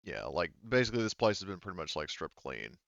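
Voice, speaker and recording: A man acting as game master, narrating a tabletop game casually with a halting delivery. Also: low voice, sing-song, gravelly, a bit bright, dry recording, muffled recording.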